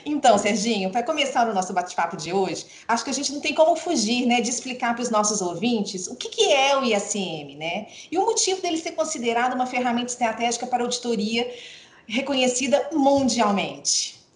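A woman's voice: a little high, expressive, fairly quick, reverberant. A middle-aged woman hosting a podcast, formally welcoming a guest and reading his credentials. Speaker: podcast host. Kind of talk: podcast interview introduction.

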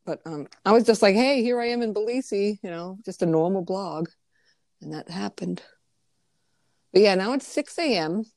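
A woman's voice: mid-range voice, lively intonation, normal speed, darkish tone, no room sound, clean studio sound. A woman hosting a podcast, chatting bluntly with frequent swearing. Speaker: podcast host. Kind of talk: casual podcast chat.

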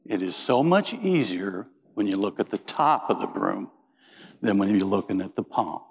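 A man's voice: lowish voice, expressive, normal speed, creaky, breathy edge. A middle-aged man from Indiana preaching a sermon as pastor, folksy and joking as he tells stories. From Indiana, USA. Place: church. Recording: reverberant church sanctuary.

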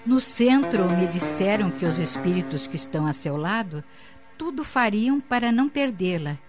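A woman playing a concerned, devout middle-aged aunt in a radio soap, speaking gravely and pleadingly. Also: mid-range voice, lively intonation, normal speed, close to an everyday voice. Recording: dull lo-fi audio, prominent music.

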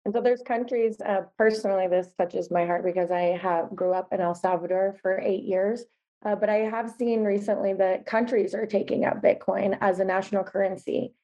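A woman interviewer asks curious questions in a mid-pitched voice, hesitating a little as she frames them. Her recording sounds slightly dull. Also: steady tone, normal speed, dark tone.

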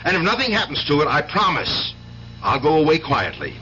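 An actor playing an urgent, insistent police detective in a 1970s radio drama. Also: male voice, on the high side, sing-song, brisk, pushed and bright. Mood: urgent, goading. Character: intense, urgent police detective pleading to save his sister. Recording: muffled vintage radio, hum and hiss.

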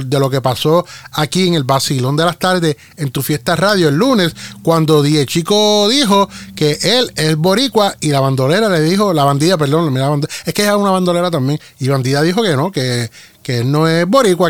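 A man's voice: fairly high pitch, expressive, fairly quick. A man with a Puerto Rican accent hosting live radio talk, rambling in a sing-song way with many restarts. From Puerto Rico. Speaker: radio show host.

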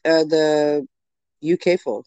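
A young woman hosts a casual podcast chat, friendly and loose with fillers. Her voice is very low and mellow, relaxed in tone. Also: slow pace, dry recording. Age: young adult. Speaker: podcast host.

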